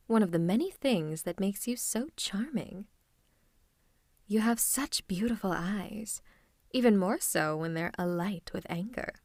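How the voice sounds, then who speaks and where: A woman's voice: mid-range voice, darkish tone, dry recording, clean studio sound, natural voice. A woman playing a teasing, theatrical supervillain who is fond of her nemesis, in an intimate ASMR roleplay. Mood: gloating, seductive. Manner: coaxing, drawn-out endearments and monologues.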